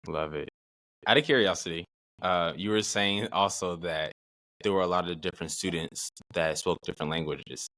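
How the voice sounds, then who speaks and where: A man's voice: low voice, moderate pace, pushed and bright, no room sound, poor audio. A man hosting a podcast interview, asking questions and affirming with short backchannels. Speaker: podcast host.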